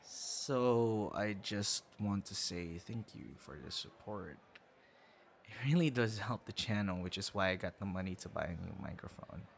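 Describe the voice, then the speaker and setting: A man playing a bashful young man with a secret hobby in a boyfriend audio roleplay, talking in a halting, flustered, conversational way. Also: on the low side. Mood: sheepish, confessing. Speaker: ASMR audio roleplay performer. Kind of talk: intimate boyfriend ASMR roleplay acting. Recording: clear background noise, ambience.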